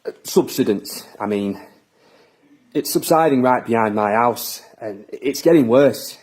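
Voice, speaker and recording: A man's voice: mid-range voice, animated, measured pace, darkish tone. An actor playing a hesitant, ailing male resident in an audio drama. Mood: hesitant, worried. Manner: hesitant, reluctant. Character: uneasy, ailing male resident living alone. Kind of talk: naturalistic audio drama acting.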